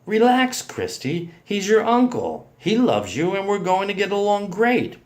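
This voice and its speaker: A man's voice: high-pitched, big pitch swings, measured pace, a little mellow, pitched above the performer's own voice. A man playing a devoted, protective male lover in a story, speaking in an earnest, reassuring way.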